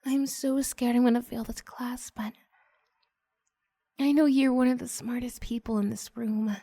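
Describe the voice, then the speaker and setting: A bashful, adoring student, acted by a woman, speaks in a lifted, soft voice that often slips toward a whisper. She is hesitant and gushing, in the intimate manner of an ASMR roleplay, with gentle, unhurried pacing. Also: fairly high pitch, steady tone, vocal fry, voice pushed up. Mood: elated, grateful. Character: shy, flustered, adoring female student seeking homework help.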